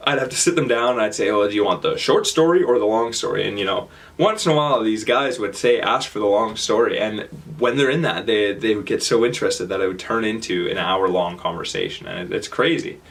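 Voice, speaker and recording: A young Canadian man with a Canadian accent giving a casual stage talk, halting with fillers and restarts. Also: fairly low pitch. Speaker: speaker. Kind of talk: public talk.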